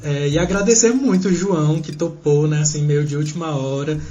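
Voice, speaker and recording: Earnest Brazilian man co-host, lightly raised pitch. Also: fairly quick, dark tone, dull audio, soft background music. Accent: Brazilian Portuguese. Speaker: podcast co-host.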